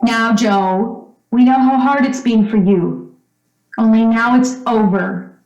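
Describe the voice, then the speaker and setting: A roomy echo surrounds the voice. It is a woman performing a middle-aged mother in an old-time radio play, slightly high-pitched, motherly and fussing. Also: takes it slowly, darkish tone, highs cut off. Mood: soothing. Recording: roomy, echoing recording.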